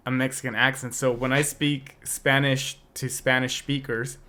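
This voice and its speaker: A man's voice: mid-range voice, level tone, normal speed, bright, forceful tone. A young adult man co-hosting a podcast, talking in a casual, reflective way with many restarts. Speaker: podcast co-host. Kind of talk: casual chat.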